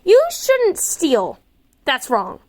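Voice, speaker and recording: A woman's voice: sits high, huge pitch swings, very slow, a little mellow, pitched above the performer's own voice, heavily acted. A woman voicing an earnest, prim, easily flustered young boy in an amateur story reading. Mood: scolding. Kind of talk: amateur audiobook character voice.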